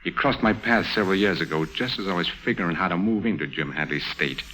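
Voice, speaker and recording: Scheming gangster boss, super deep hard-edged voice, bossy, fifties radio crime show. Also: male voice, expressive, fast talker, bright, forceful tone, slight background noise, low-quality recording. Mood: smug. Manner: commanding, curt, smooth-talking. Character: ruthless, domineering male racketeer boss, smugly scheming. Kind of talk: 1950s radio crime drama acting.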